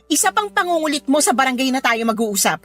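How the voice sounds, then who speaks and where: A woman's voice: high voice, sing-song, quick pace. A woman acting as a fed-up, scornful young mother scolding her ex in a Filipino radio drama. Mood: exasperated, furious. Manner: sharp, scolding, sarcastic.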